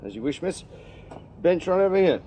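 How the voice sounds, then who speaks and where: An actor playing a shrewd, dry-witted Victorian police sergeant in a radio drama. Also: male voice, high-pitched, big pitch swings, moderate pace, mellow, a little hiss or hum. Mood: courteous. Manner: dry, wry questioning. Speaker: detective sergeant.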